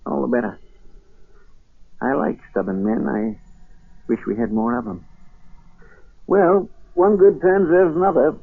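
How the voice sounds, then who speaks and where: An actor playing a proud, spirited colonial silversmith, brisk, dignified and theatrical, in a 1940s radio drama. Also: male voice, mid-range voice, creaky, husky. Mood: brisk, appreciative. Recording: muffled vintage 1940s radio broadcast.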